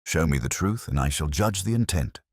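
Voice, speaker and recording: A man acts a grave Victorian detective in an audio drama. He is calm, exact and commanding, with a husky voice that moves expressively up and down, in a very dry recording. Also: extremely low pitch, no echo, heavily acted. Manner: measured, quiet, incisive.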